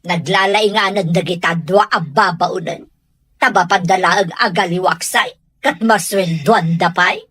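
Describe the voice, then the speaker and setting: A woman's voice: on the low side, unhurried, a bit bright, breathy edge, no echo. A woman playing a haughty, anxious middle-aged mother who scorns servants, in an Ilocano radio drama. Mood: suspicious, annoyed.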